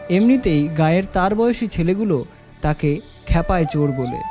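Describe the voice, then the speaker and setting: A man narrates a scripted story in a fairly high voice. Music plays clearly behind his narration.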